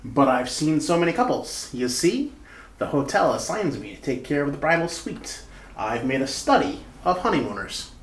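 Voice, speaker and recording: Nosy know-it-all bellboy played by a man, theatrical and meddling, big pitch swings, roomy radio-play sound. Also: sing-song, normal speed. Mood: bossy, meddling. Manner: officious, pestering, theatrical. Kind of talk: retro radio comedy-mystery acting. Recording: roomy, echoing amateur radio-play recording.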